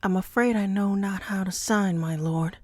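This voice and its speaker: A woman playing a wary, defiant enslaved woman in an audio drama, speaking in a halting, old-fashioned formal way. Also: mid-range voice, a little mellow, no echo, close to an everyday voice. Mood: hesitant yielding. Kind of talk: podcast audio drama acting. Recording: dry amateur home recording.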